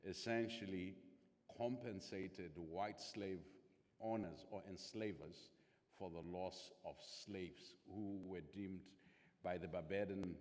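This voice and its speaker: A man chairing a panel in a large hall, reflective, putting rhetorical questions to the audience. His voice is very low. A long hall reverb trails behind every phrase. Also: darkish tone. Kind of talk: moderating a conference panel.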